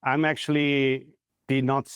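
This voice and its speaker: A man in his forties from Brazil with a Brazilian Portuguese accent, speaking English in a video call interview, halting and searching for words with many fillers. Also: medium pitch, little pitch movement, smooth, dry recording, studio quality. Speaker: guest, corporate real estate head. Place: plain indoor room. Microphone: lapel mic on video call.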